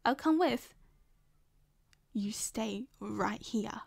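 A woman's voice: on the high side, very slow, vocal fry, slightly rough, no echo, full character voice. A woman with an Australian accent playing a possessive, scheming young woman in an ASMR roleplay, sweet and coaxing. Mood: sweet, probing.

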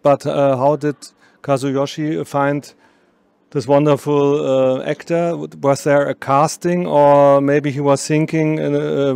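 Middle-aged man interviewing, gravelly and even. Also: on the high side, no room sound. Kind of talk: film festival interview. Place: indoor festival interview room. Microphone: handheld microphone held close.

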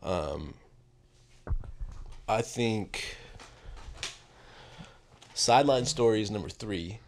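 Young man, very low deep voice, laid-back, rambling, lots of fillers, casual interview guest. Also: expressive, drawn-out words, slight background noise. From Northern California. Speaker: rapper guest.

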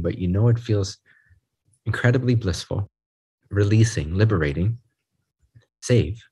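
A man leading a guided group meditation, speaking in a soothing, unhurried way in short phrases with pauses. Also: lowish voice, darkish tone, breathy edge.

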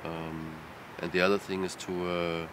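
A man's voice: deep voice, little pitch movement, unhurried. A man speaking thoughtfully in an interview on a city rooftop, hesitating often with fillers, traffic noise behind him. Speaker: lecturer. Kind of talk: reflective interview answers. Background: city street sounds.

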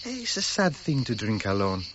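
A man's voice: medium pitch, wildly swooping pitch, moderate pace, a bit bright. An actor playing a drunk, superstitious Cretan foreman, brooding and ominous in a radio drama. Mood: tipsy, melancholy. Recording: dull, noisy radio drama recording.

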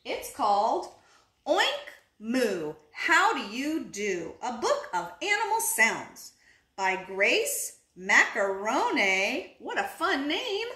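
Bright, expressive woman reading to children. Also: mid-range voice. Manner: expressive, with drawn-out words and asides to young listeners. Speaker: children's story reader and teacher. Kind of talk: read-aloud picture book narration for young children. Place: small living room, indoors on a couch. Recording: roomy echo.

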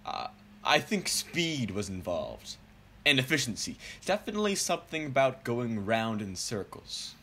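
Man acting a husky, blustering old racer. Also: mid-range voice, sing-song, measured pace, fairly bright tone, full character voice. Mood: muddled. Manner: pompous, blustering. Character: proud, indignant old man race driver. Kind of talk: comedic radio play acting.

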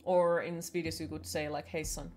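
A woman's voice: low voice, little pitch movement, moderate pace, darkish tone. A young woman with a Finnish accent, chatting playfully with her viewers while streaming a game from a small room at home, swearing casually. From Finland. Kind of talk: casual chat with chat while gaming. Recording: faint game music behind.

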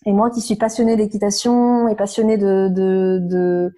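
A woman in her thirties, slightly hoarse, talking frankly and animatedly as an interview guest, with many fillers. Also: mid-range voice, level tone, normal speed, warm tone. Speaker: interview guest, nutrition therapist. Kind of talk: casual conversational interview.

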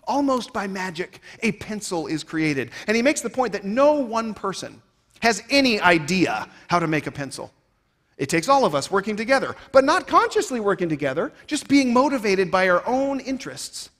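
A man in his thirties giving a keynote speech on stage, emphatic and rhetorical, swinging between loud and quiet phrases, on a headset mic. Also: high-pitched, sing-song, normal speed. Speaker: keynote speaker. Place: stage of a large conference hall. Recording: reverberant large hall.

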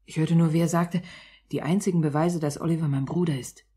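A woman's voice: deep voice, fairly even intonation, a little fast, dark tone, slightly rough. An actress playing a defiant young underworld woman in a 1950s German radio drama, blunt and intense. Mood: guarded, earnest.

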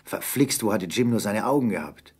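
Low, husky male storyteller. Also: lively intonation, normal speed, a little mellow. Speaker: narrator. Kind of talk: dramatic scripted story narration.

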